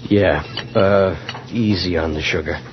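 An irritable bus driver in a radio thriller, low-pitched, curt and impatient. The old recording is muffled, with loud engine noise all around him. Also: male voice, measured pace. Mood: impatient, evasive. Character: gruff, irritable, harried night bus driver. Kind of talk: old-time radio drama acting.

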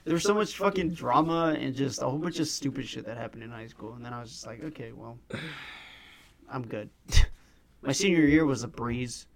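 A man's voice: mid-range voice, normal speed, gravelly. A young man in his early twenties co-hosting a podcast, telling rambling stories in casual chat and swearing freely. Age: young adult. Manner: laid-back, swears a lot, rambling storyteller. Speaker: podcast co-host.

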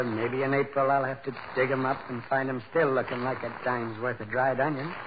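A man acts out a wistful, plain-talking shoemaker in a vintage radio play. His pitch moves expressively as he muses in folksy, homespun phrases. The old recording is muffled, with clear noise. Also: close to an everyday voice. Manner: folksy, ungrammatical, reflective. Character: plain, wistful New York shoemaker, quietly hopeful. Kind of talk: 1940s radio drama acting.

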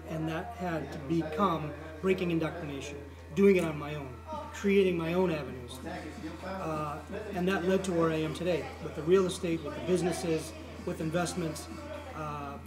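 A man's voice: a little high, normal speed. A middle-aged man from the Midwestern United States speaking as an interview guest, telling personal stories conversationally and repeating phrases for emphasis. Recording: echoey, distant mic with music underneath.

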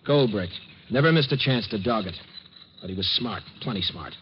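An actor playing a shaken young war veteran and student, speaking in earnest, clipped sentences in a 1950s radio drama. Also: male voice, fairly low pitch, lively intonation, normal speed, natural voice. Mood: tense, recounting. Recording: muffled, noisy old radio recording.

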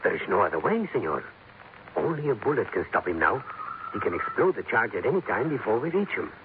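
Lively, Spanish-accented police captain. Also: male voice, fairly low pitch, fairly quick. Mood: grave. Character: earnest, practical Venezuelan male police captain. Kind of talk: 1950s radio drama acting. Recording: narrow-band, noisy old radio recording.

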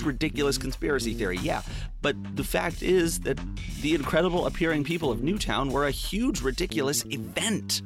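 A jaded male private detective narrates his case with sardonic, world-weary asides in a noir audio drama. His voice is mid-pitched, with plucked guitar music playing underneath.

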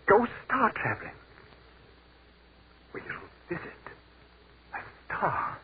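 An actor playing an earnest army captain who has died, speaking urgently in 1940s radio-drama style. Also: male voice, high voice, sing-song, slow pace, heavily acted. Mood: wondering. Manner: urgent and stammering, then rapturous. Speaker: lead actor. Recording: tinny old radio broadcast with hiss.

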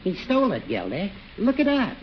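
An actor playing a dry, needling old judge in a 1940s radio comedy. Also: male voice, sits high, huge pitch swings, moderate pace. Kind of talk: 1940s radio sitcom acting. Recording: dull, noisy 1940s radio recording.

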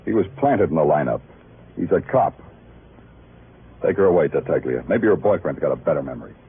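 A man's voice: extremely low pitch, animated, brisk, crowd noise. An actor playing a weary, sardonic male police detective in a 1950s radio noir drama, clipped and hard-boiled. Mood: hard, needling. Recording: muffled old radio recording, music behind.

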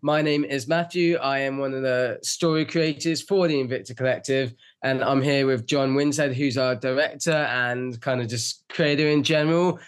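A man's voice: medium pitch, steady tone, normal speed, few pauses, clear, resonant tone. A man hosting a casual interview as the interviewer, in a friendly, encouraging manner. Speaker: interviewer, story writer. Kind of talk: casual behind-the-scenes interview.

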